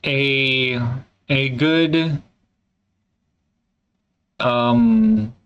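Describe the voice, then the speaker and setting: Man, slow hesitant talk, smooth clear voice, casual swearing, solo vlog. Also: medium pitch, expressive, highs cut off. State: congested. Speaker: vlogger. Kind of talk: candid solo vlog, thinking aloud.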